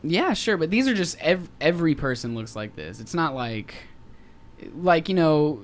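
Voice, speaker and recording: Joking man with lively pitch. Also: moderate pace. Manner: joking, laughs often between remarks. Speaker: podcast co-host. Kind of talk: casual chat.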